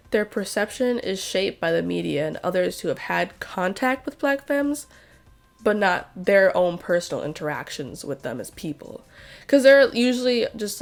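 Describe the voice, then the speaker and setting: A woman YouTuber giving casual, opinionated commentary while she draws, her voice hoarse and congested. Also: fairly low pitch. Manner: chatty, opinionated, conversational. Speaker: art YouTuber.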